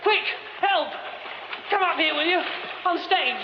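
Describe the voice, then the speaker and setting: A man's voice: fairly high pitch, very animated, a little slow. An actor playing a young theatre man who mutters to himself wryly and stammers under strain. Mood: panicked. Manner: muttering to himself, wry, later frantic stammering. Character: young male theatre man exploring a derelict theatre. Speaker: lead character. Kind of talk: radio drama acting. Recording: dull old radio recording with hiss.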